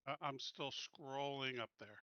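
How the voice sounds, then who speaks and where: A man's voice: medium pitch, unhurried, bright, forceful tone, smooth, dry recording, highs cut off. A man playing a tabletop roleplaying game, talking casually as he makes decisions.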